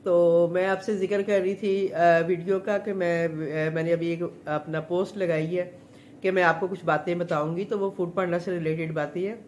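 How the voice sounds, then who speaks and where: A woman's voice: fairly low pitch, steady tone, normal speed, a little mellow, slight background noise. Chatty middle-aged woman, sore throat. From Pakistan. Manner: chatty, rambling, many fillers. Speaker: home chef. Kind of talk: casual live chat.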